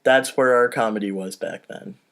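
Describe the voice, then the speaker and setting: A man's voice: medium pitch, moderate pace, a bit bright. Casual man narrating a comedy script. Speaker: podcast host, table-read narrator.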